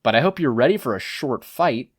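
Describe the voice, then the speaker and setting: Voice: mid-range voice, animated, brisk, breathy edge, voice pushed up. A podfic reader voicing a brash, hot-tempered teenage boy hero student who grumbles, snaps and boasts. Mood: cocky.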